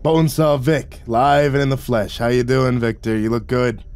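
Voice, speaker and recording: A man voicing a croaky, friendly, teasing gangster smoker in an audiobook. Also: medium pitch, steady tone, moderate pace, a little mellow, natural voice. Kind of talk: audiobook character voice. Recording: music behind the voice.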